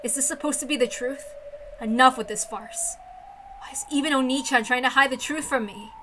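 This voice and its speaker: A woman's voice: high-pitched, a little fast, noisy background, lightly acted. A woman reading the brooding, intense monologue of a bitter, weary young woman obsessed with revenge in a visual novel. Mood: frustrated, defiant.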